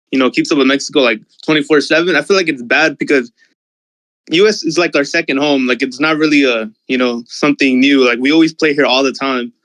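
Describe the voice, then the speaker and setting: A man's voice: mid-range voice, fairly bright tone, low-quality recording. A man giving opinions as a fan in a casual, slangy chat, often saying like and you know. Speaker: discussion panelist, football fan.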